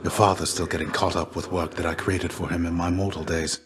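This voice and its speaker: A man playing a fatherly, weary shade of a fallen warrior in a video game, speaking in measured, formal, gently archaic phrases. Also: deep voice, little pitch movement. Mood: wistful, tender. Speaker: game character voice actor. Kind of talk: scripted video game dialogue.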